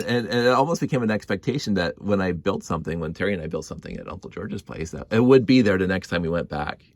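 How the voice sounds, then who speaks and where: A middle-aged man telling nostalgic stories casually, rambling and halting, repeating words and often given to chuckling. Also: fairly low pitch, dry recording. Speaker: hobby video creator. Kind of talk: casual rambling storytelling to camera.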